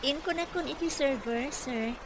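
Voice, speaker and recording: A woman's voice: high voice, normal speed. A woman acting a chatty, matter-of-fact office clerk in a radio comedy drama, with an Ilocano accent. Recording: clear background noise.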